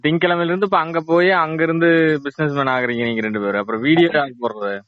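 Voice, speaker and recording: A man's voice: on the high side, racing, dry recording. A man chatting casually with friends as a vlogger. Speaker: vlogger planning videos with his friends. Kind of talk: casual chat. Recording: dull lo-fi recording.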